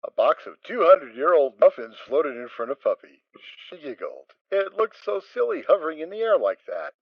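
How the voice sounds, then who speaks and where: A man reads the narration with lively, swinging intonation. His voice sits in a middle register. The recording is dry and a little dull. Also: on the high side, normal speed, dry recording. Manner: expressive storytelling. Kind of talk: dramatic story narration.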